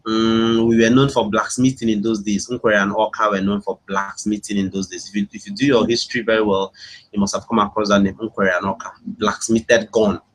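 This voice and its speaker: A man's voice: on the low side, little pitch movement, a little fast. A man with a Nigerian accent hosting a casual live webinar, chatting with his online audience and often repeating his phrases. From Imo State, Nigeria. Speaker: webinar host, internet marketing coach.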